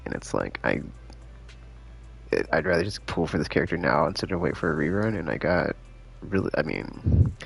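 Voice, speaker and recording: Male game streamer, extremely deep with heavy vocal fry, lively intonation, laid-back slangy chat. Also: moderate pace. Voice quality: gravelly, creaky. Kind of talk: casual live-stream chat, rambling. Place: live stream with game music behind the voice.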